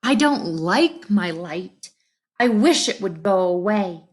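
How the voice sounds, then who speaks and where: A woman's voice: mid-range voice, animated, takes it slowly. A woman voicing a stubborn, self-conscious young female firefly in a children's storybook, with a snappish, dramatic manner. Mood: glum. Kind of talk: children's storybook read-aloud.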